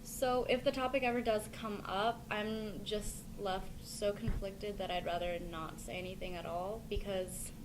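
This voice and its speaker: A woman's voice: mid-range voice, fairly even intonation, measured pace, few pauses. Hesitant young woman, noisy recording. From United States. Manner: thoughtful, hesitant, fillers like "I mean" and "like". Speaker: interviewee. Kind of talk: casual interview answers. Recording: noisy recording with ambient hiss.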